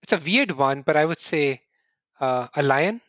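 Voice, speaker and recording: A middle-aged man from India, answering interview questions earnestly in quick bursts and often restarting with "right?". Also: fairly high pitch, lively intonation, fairly bright tone, dry recording, dull audio. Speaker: podcast guest.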